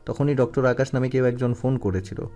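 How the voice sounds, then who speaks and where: A man's voice: mid-range voice, fairly quick, mellow, faint music behind, close to an everyday voice. A man playing an earnest young lover troubled by jealousy in a romantic audio story. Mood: probing.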